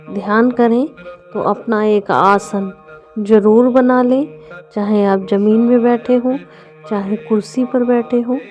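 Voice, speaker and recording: A woman guides a meditation in a soft-edged, mellow voice at an extremely slow pace. Her phrasing is gentle and deliberate, stretched out with long pauses between instructions. Faint music plays behind her. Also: medium pitch. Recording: dull lo-fi audio with music. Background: background music.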